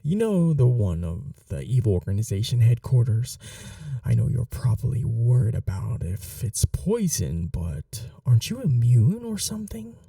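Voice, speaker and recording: A man playing an eager, awkward young supervillain in an ASMR roleplay, rambling to the listener with sheepish boasts and breathy asides. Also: medium pitch, mellow, no room sound, clean studio sound, recorded from a distance, heavily acted. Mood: friendly, sheepish. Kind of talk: ASMR roleplay audio drama, addressing the listener.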